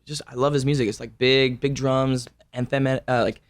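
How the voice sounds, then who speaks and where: A man in his thirties, a guest in a casual interview, telling stories in a lively way and laughing often. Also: mid-range voice, normal speed, clean tone. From United States.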